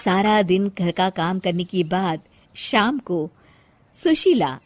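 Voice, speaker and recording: A woman narrating a scripted cartoon moral story in an expressive storyteller's style. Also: on the low side, slow pace, very noisy.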